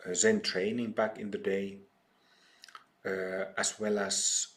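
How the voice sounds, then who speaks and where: Slow, low-voiced Finnish meditation teacher. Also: male voice, level tone, a bit bright. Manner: slow, hesitant, many ums and pauses. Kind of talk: retreat dharma talk.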